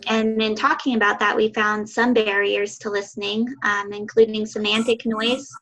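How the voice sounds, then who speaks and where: A young woman giving a class presentation over a video call, with a high voice and a slight lisp. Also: flat delivery, moderate pace, pushed and bright, poor audio. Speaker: student presenter.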